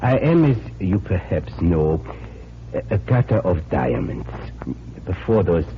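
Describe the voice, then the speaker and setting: An actor playing a troubled, courteous immigrant diamond cutter with a continental European accent and hesitant, repetitive phrasing, in 1940s radio style. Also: male voice, sits low, sing-song, measured pace, full character voice. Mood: grateful, sorrowful. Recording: dull, noisy 1940s radio recording.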